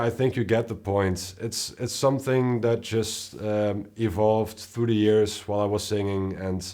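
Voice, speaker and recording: A man with a low voice and a non-native accent, answering viewer questions in a rambling, relaxed way. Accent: non-native English accent. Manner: rambling, relaxed, many fillers. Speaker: musician and video host. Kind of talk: casual Q&A vlog.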